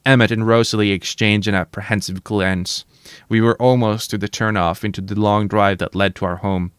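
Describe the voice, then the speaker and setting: A man's voice: fairly low pitch, fairly even intonation, normal speed, clear, resonant tone, dry recording, clean studio sound. Man reading a novel aloud on stream. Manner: casual and slangy between passages. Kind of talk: dramatic novel reading with casual chat.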